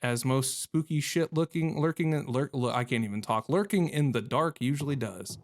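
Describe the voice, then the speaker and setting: A man hosts a video game playthrough in a medium-pitched voice. He talks casually and reacts as he goes, rambling and joking with goofy, mild exclamations. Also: normal speed. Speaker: gamer hosting a playthrough video. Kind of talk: casual, reactive gameplay commentary.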